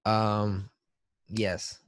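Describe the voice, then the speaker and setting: A man's voice: fairly low pitch, level tone, extremely slow, no echo, clean studio sound. A man co-hosting a podcast in a casual, comedic chat, talking in profane slang. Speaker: podcast co-host.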